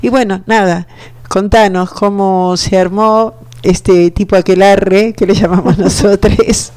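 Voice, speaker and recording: Woman hosting a radio show, chatty intro, dry studio mic, medium pitch. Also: steady tone, a little slow, a little mellow, dry recording. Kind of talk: conversational radio interview introduction. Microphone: studio microphone.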